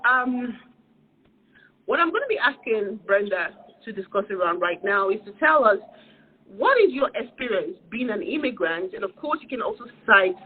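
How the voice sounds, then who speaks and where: A man's voice: high voice, narrow, tinny audio, slight background noise. A man hosting a podcast interview, asking questions politely and hesitantly with many fillers. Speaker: podcast host.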